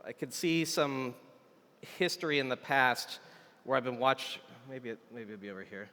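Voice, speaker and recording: A man with a sharp, bright voice presents a live software demo in a casual, chatty way. He thinks aloud as he works. His voice rings out in a conference hall with long reverb. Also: mid-range voice, animated, moderate pace. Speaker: software engineer demonstrating a product on stage. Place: large conference hall stage.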